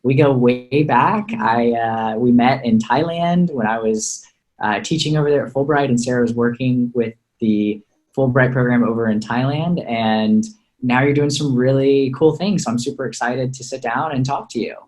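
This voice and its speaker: A man's voice: mid-range voice, moderate pace, a little mellow, rough recording. A man hosting a podcast interview, talking in an upbeat, enthusiastic way. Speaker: podcast host.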